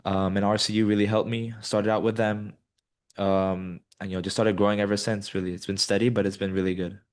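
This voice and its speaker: A man's voice: fairly low pitch, a little fast. A man in his twenties from Colombia, answering interview questions casually with lots of ums and you-knows. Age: 20s. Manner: many ums and you-knows, relaxed and rambling. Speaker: guest, business student.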